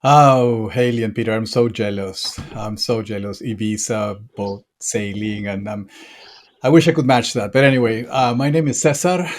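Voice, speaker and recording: A man with a Peruvian accent, a podcast guest talking in a lively, playful way with many fillers. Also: mid-range voice. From Peru. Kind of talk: casual interview chat.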